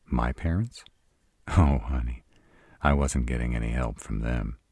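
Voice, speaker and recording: A man's voice: extremely low pitch, unhurried, vocal fry, a little mellow, slightly rough, no room sound, exaggerated voice. A man playing a sarcastic, proud city-bred vampire in a scripted audio roleplay, with dry, sardonic delivery. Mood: bitter, sardonic. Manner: sardonic, dry, rhetorical questioning.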